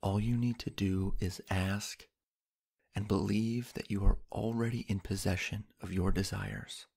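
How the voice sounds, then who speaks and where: Male sleep-hypnosis narrator: lowish, husky with some fry, soft, unhurried delivery with long pauses. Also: fairly even intonation. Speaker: guided meditation narrator. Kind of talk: sleep hypnosis guided meditation, slow scripted narration.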